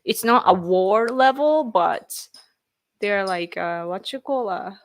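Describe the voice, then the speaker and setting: A woman's voice: mid-range voice, expressive, slow. A woman from Japan with a Japanese accent, casually chatting on a live stream and reading viewer comments as she rambles and laughs. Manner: rambling, reads chat aloud, laughs often. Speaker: livestream host.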